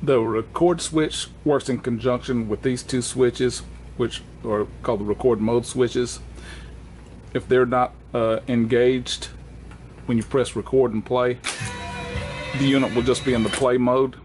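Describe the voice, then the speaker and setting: A man presenting an unscripted hands-on equipment walkthrough in a plainspoken, halting way, correcting himself as he goes. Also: medium pitch, fairly even intonation, a little mellow, faint music behind.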